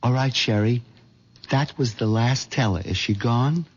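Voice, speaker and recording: An actor playing a mild, hen-pecked middle-aged bank clerk turned nervous plotter, stammering and hesitant, in 1970s radio drama style. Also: male voice, medium pitch, unhurried, slightly rough. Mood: brisk, tense. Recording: dull lo-fi 1970s radio recording.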